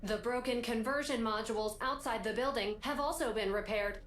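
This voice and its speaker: A woman's voice: moderate pace, fairly bright tone, close to an everyday voice. A woman voicing a proud, dutiful helper in a video game, announcing things formally. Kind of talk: scripted video game voice acting. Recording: echoey, faint rumbling noise.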